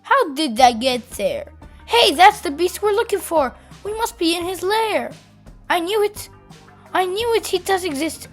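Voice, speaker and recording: Storybook kid adventurer played by a woman: high, eager, determined, light music behind. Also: lively intonation, measured pace. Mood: excited. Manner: eager, exclamatory. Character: excited, determined young kid hunting a yeti.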